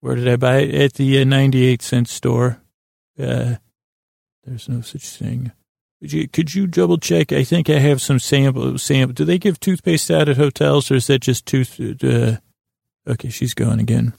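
Very creaky, rambling man. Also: medium pitch, steady tone, darkish tone, no echo, clean studio sound. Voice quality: creaky, gravelly voice. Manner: meandering, halting, goes off on tangents. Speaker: podcast host. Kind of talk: rambling bedtime monologue to help listeners sleep.

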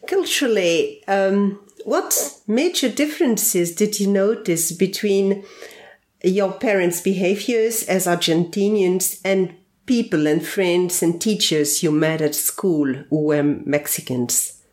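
A woman hosting a podcast, reading a scripted introduction and then interviewing a guest in a measured, deliberate way. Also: medium pitch, darkish tone. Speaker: podcast host.

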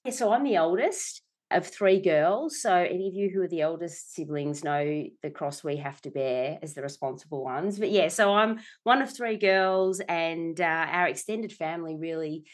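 Middle-aged australian woman, chatty guest, warm, slightly low voice. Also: moderate pace, hardly any pauses. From Melbourne, Australia. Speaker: guest, company director. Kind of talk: conversational interview.

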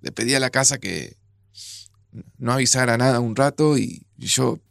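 An actor playing a flustered, grieving widower in an audio drama, gravelly and hesitant, often repeating himself. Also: male voice, mid-range voice, normal speed, a bit bright, no room sound, clean studio sound. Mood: subdued, hesitant. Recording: music under the voice.

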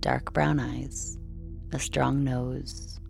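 A woman reading a scripted bedtime story in a slow, measured, soothing delivery. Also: very deep voice, flat delivery, gravelly. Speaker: sleep story narrator. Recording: soft music behind.

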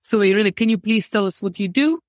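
A woman's voice: medium pitch, animated, quick pace, no room sound, studio quality. A woman hosting and moderating a panel, speaking enthusiastically.

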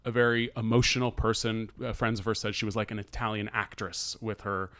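A man with an American accent talking casually as a podcast co-host, halting and often restarting his sentences as he recounts things. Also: fairly low pitch, little pitch movement, fairly bright tone, dry recording. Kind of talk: casual conversational recounting of an article.

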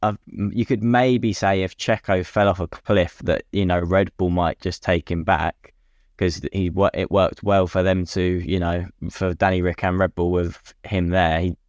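A man's voice: deep voice, little pitch movement, a little slow, no echo, studio quality. A man co-hosting a podcast, chatting casually and matily about sport and offering his opinions. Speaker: podcast co-host.

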